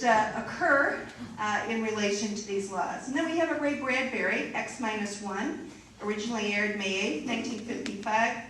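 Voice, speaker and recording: A woman's voice: a little high, a bit bright. A woman giving an informal introduction to a live audience as an event presenter. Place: large echoey room, live event. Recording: echoey room, distant mic, hiss.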